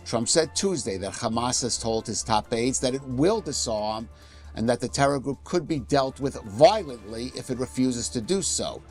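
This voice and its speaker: A man reading a news update as anchor, in steady newsreader delivery. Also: medium pitch.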